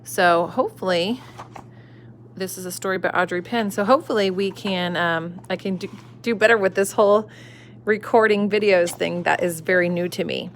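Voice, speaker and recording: A woman reading a children's picture book aloud from home, warm and expressive, asking her young listeners questions. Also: lowish voice, moderate pace, slight background noise. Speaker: storyteller. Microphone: phone camera.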